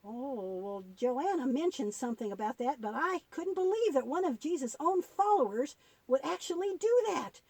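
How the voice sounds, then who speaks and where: Lady doing little boy puppet, high voice, super curious and wide-eyed, swoops around a lot, kids show. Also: lively intonation, moderate pace, darkish tone, dry recording, rough recording. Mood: curious amazement. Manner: wide-eyed, questioning, exclamatory. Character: eager, curious, easily amazed young boy puppet. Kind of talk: puppet-show dialogue for children.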